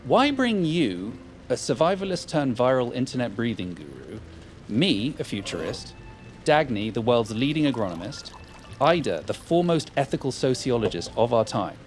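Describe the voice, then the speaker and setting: A man's voice: medium pitch, very animated, moderate pace, slight background noise, lightly acted. A man playing a competitive, self-important futurist in an audio drama, articulate and superior with dry wit. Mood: explanatory, wry.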